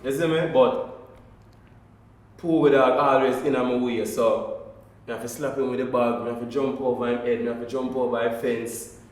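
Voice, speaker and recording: A Jamaican man chatting casually in Patois as a performer on a group podcast, telling things in an animated, repetitive way. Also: mid-range voice, normal speed. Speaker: pantomime performer, podcast guest. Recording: echoey room, faint noise.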